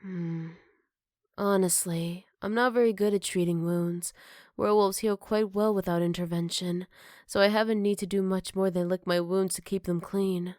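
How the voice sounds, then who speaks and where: A woman playing a gentle, caring motherly werewolf in an ASMR roleplay, soft-spoken with hesitant pauses and tender teasing. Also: medium pitch, steady tone, no room sound, clean studio sound, lightly acted. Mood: calm, matter-of-fact. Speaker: voice actor. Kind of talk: ASMR roleplay addressed to the listener.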